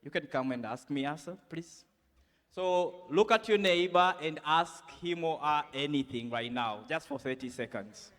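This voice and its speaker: A man's voice: fairly high pitch, fairly bright tone. A man moderating a panel session, upbeat and joking with the audience. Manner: slow, upbeat, joking with the crowd. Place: conference hall. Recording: hall reverb.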